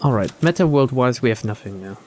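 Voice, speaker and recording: A man's voice: medium pitch, lively intonation, fast talker, a little mellow, faint music behind. A man hosting a let's-play, reading game text aloud and commenting casually. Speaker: gameplay host.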